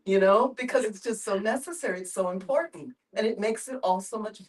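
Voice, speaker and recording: A woman's voice: mid-range voice, brisk. A woman sharing personal reflections in a group discussion. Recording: voice picked up from a distance.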